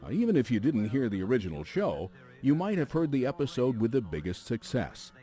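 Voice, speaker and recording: A man hosting a radio retrospective, reading scripted narration in a polished, measured broadcast delivery. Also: medium pitch, very animated, mellow, soft background music. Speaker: news radio host.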